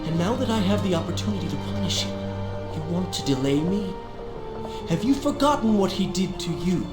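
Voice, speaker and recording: An actor playing a vengeful, headstrong young Persian prince, speaking formally and with passion in a video game cutscene. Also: male voice, high voice, animated, brisk. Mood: stern. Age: young adult. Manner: formal, impassioned speech. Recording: music behind the voice. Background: cinematic music.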